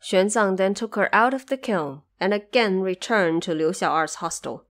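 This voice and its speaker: A woman's voice: mid-range voice, lively intonation, moderate pace. A woman narrator telling a scripted story for an audio drama.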